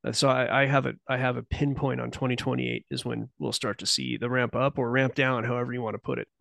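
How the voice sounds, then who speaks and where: Male podcast guest, gravelly, dry, meandering and speculative. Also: mid-range voice, level tone, quick pace, a bit bright, dry recording. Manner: rambling, self-interrupting, speculative. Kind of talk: casual conversational interview.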